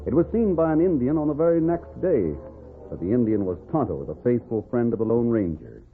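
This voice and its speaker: A man's voice: medium pitch, big pitch swings, a little fast, faint music behind. A man giving dramatic scripted narration in the manner of 1940s radio. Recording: noisy, tinny old radio recording.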